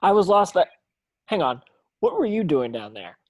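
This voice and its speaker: A man's voice: high-pitched, very animated, normal speed. A man playing an earnest, modest schoolboy wizard, plain-spoken, in a script table read.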